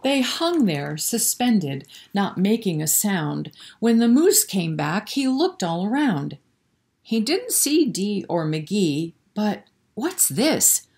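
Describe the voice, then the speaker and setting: Female children's storyteller, mid-pitched and unhurried, expressive read-aloud delivery with explanatory asides. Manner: expressive, explains words and prompts young listeners.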